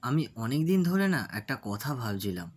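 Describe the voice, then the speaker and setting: A man's voice: medium pitch, big pitch swings, brisk, darkish tone. A man playing an earnest, hardworking business partner in a cartoon story. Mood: eager, friendly. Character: earnest, hardworking male business partner, wronged by his friend. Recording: music under the voice.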